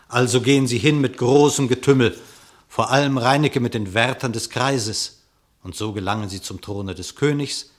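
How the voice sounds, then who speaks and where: A man narrating a classic radio play in verse, in an expressive, declamatory storytelling manner. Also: fairly low pitch.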